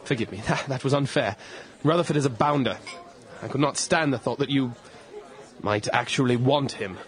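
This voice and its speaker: Guy acting gallant victorian english lord, wry and suave, medium pitch, radio play. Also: moderate pace, some chatter behind, close to an everyday voice. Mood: contrite. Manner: suave, genteel.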